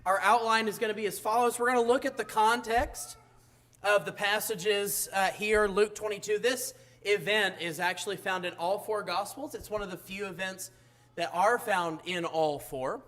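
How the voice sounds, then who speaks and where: A hoarse man delivers a church sermon in a high-pitched voice. He keeps stumbling into fillers and restarts as he speaks. His voice sounds rough and strained. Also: steady tone, fairly bright tone. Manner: halting, frequent uh fillers and restarts. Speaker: preacher.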